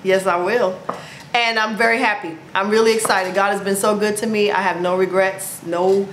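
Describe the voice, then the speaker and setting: A woman's voice: mid-range voice, steady tone, moderate pace, a little hiss or hum. Upbeat woman in her forties, echoey room. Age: 40s. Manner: upbeat, playful, exclaiming. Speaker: vlogger. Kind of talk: casual chat to camera. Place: indoors at home, plain wall. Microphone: camera in front of her.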